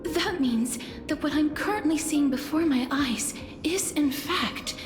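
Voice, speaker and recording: A woman's voice: sits high, a little slow. An actress playing an earnest, troubled young lady in a fantasy video game, speaking hesitantly and formally. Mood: hesitant wonder. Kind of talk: dramatic video game voice acting. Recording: roomy echo with music behind.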